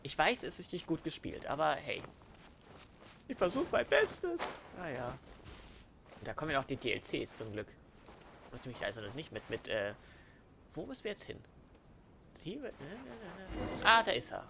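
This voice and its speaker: A man's voice: on the high side, huge pitch swings, moderate pace, creaky, long pauses, noisy background. A man with a German accent giving casual, rambling let's play commentary with teasing banter, game music behind him.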